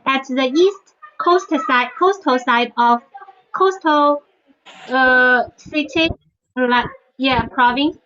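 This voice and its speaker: Young woman on a muffled video call, high voice, lots of um and yeah. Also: takes it slowly, bright, forceful tone. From China, Zhejiang. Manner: hesitant, many fillers and repeated "yeah". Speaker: guest. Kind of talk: casual video chat. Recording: dull lo-fi call audio.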